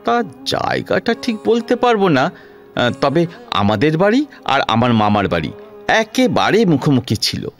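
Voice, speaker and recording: A man's voice: fairly high pitch, wildly swooping pitch, unhurried, full character voice. A man playing a kind young doctor of about thirty in a Bengali audio drama, earnest and hesitant, with a Kolkata accent. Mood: wistful. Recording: music behind the voice.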